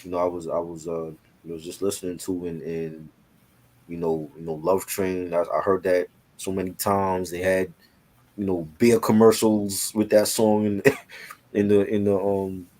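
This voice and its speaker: Low-voiced man in casual conversation. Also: flat delivery, moderate pace, a little mellow. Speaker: co-host. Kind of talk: casual chat.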